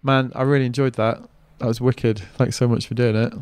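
A man's voice: medium pitch, level tone, fairly quick, darkish tone, smooth, dry recording. A man hosting a podcast, chatty and upbeat, trading banter and wrapping up the episode on his own. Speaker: podcast host. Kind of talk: casual chat and solo wrap-up.

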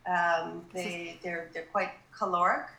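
A woman's voice: on the low side, level tone, slow, pushed and bright. A woman from Greece chatting as a guest in an interview, with frequent ums and restarts. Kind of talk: casual interview chat. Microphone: call audio. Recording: roomy echo.

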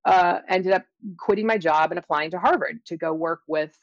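A middle-aged woman speaking as an interview guest, explaining and telling her experiences in a thoughtful, halting way with drawn-out emphasis. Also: fairly low pitch, no room sound, dull audio. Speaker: interview guest, scientist and author. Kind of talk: conversational interview, personal storytelling and explanation.

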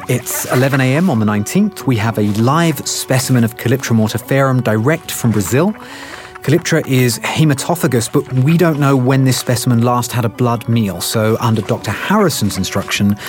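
An actor playing an uneasy, earnest research scientist with a hesitant way of talking, in an audio drama. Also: male voice, mid-range voice, lightly acted. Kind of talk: full-cast audio drama acting.

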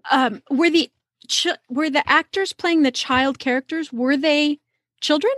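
High-pitched woman hosting an interview. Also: a little slow, a bit bright, no echo. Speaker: podcast host and interviewer. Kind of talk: casual interview chat.

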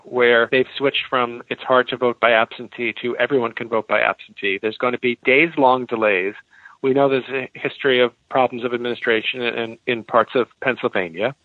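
An American man with a mid-pitched voice gives explanatory answers as an expert interview guest. The sound is dry and a little dull, like a lo-fi radio feed. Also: no room sound. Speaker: expert guest, law professor and author.

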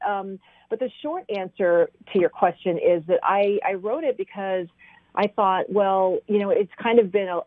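A middle-aged woman from Iraq, raised in Kentucky, answering interview questions in a halting way with many restarts and fillers, heard over a phone line. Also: lowish voice, no room sound. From born in Iraq, raised in Kentucky. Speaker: author guest.

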